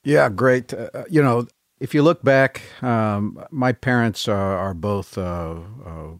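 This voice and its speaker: A man's voice: medium pitch, takes it slowly, dry recording, clean studio sound. An older man, a guest whose first language was French, reflectively telling his life story in a podcast conversation. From Bay Area, California. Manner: reflective, searching for words.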